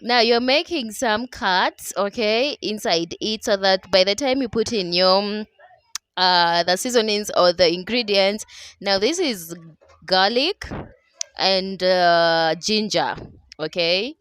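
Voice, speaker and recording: A woman's voice: medium pitch, slow, fairly bright tone, clean tone, no room sound. Chatty Kenyan woman with a dry voice-over. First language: Dholuo. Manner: chatty, laughing, keeps asking "okay?". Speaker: cooking vlogger. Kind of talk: casual cooking voice-over. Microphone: voice-over mic.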